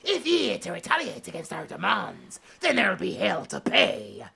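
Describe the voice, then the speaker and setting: A woman's voice: fairly low pitch, huge pitch swings, normal speed, gravelly, pushed and bright, husky, exaggerated voice. A woman playing a menacing, gloating pirate boss in a theatrical, taunting drawl in an audio drama. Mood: threatening.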